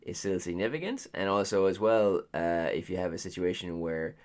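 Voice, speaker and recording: Deep-voiced Irish man, rambling. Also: normal speed. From Ireland. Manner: rambling, heavy on ums and uhs. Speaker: podcast co-host. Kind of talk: casual podcast chat.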